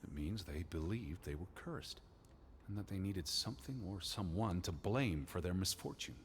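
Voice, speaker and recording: Low-voiced, bookish stern naturalist. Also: male voice, animated, normal speed, a little hiss or hum. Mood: grave, thoughtful. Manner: precise, formal, bookish. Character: stern, learned 1920s American naturalist, earnest and skeptical. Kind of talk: naturalistic video game dialogue.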